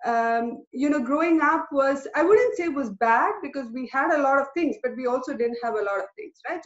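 A woman from India, a company vice president, answering interview questions at home over a video call, reflective and full of "you know" fillers. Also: fairly high pitch. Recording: roomy, dull lo-fi video call audio.

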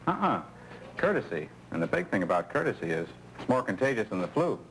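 Seasoned American male coworker, folksy and persuasive, very lively intonation, faintly noisy old training-film sound. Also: on the low side, brisk. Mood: earnest, coaxing. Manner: colloquial, persuasive, rhetorical questions. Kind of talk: scripted 1970s training-film acting.

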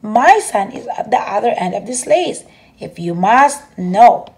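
A woman voicing a protective, sharp-tongued mother in a children's storybook, with a scolding, motherly manner. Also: medium pitch, big pitch swings, a little slow. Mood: indignant. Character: protective, sharp-tongued mother, fussing over her son.

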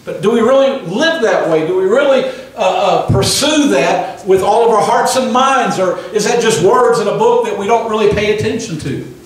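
A man in his sixties preaching a sermon into a handheld microphone in a small church hall, pausing for the congregation's amens. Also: sits high. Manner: emphatic preaching with call-and-response amens. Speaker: pastor. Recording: echoey room from a distant camera.

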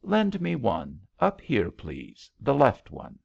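Man putting on a plaintive lovestruck young woman, pitched up. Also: wildly swooping pitch, vocal fry, a little mellow, husky, dull audio, heavily acted. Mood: coaxing. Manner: plaintive, halting, stammering. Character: tearful, lovestruck young woman, romantic and pleading.

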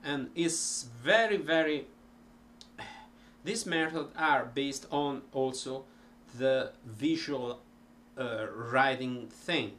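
Middle-aged man with an Italian accent, unhurried, halting delivery, home webcam sound with a faint hum behind. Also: medium pitch, fairly bright tone. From Italy. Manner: slow, rambling, many ums and restarts. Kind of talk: casual talk to camera. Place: cluttered home music room.

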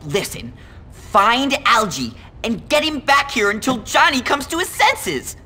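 Nerdy teen boy, game cutscene: worried, very animated, high-pitched. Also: measured pace, full character voice. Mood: worried, explaining. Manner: worried, chattering. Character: anxious, excitable nerdy teenage schoolboy. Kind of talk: video game cutscene acting.

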